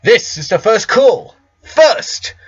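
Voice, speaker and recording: Man as a loud, high-pitched stage announcer. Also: big pitch swings, takes it slowly, breathy edge, muffled recording, heavily acted. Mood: calling out. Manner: calling out loudly, emphatic. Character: loud, urgent theater stage announcer calling performers. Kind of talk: storybook character reading.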